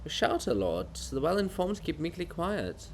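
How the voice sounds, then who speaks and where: Guy doing a gentle patient statue in a play reading, soft spoken, coaxing, kinda needling. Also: a little high, big pitch swings, moderate pace, slight background noise. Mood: gently needling. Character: living Gogol monument, gentle, patient, gently needling male friend. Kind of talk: theatrical dramatic reading of a farce.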